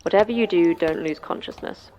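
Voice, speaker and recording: A woman's voice: on the low side, brisk, a little mellow, highs cut off, a little hiss or hum, natural voice. A woman playing a brusque, seasoned guide in a sci-fi horror audio drama, terse, commanding and matter-of-fact. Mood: brusque, guarded. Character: brusque, seasoned guide through a monster facility. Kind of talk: science fiction horror audio drama acting.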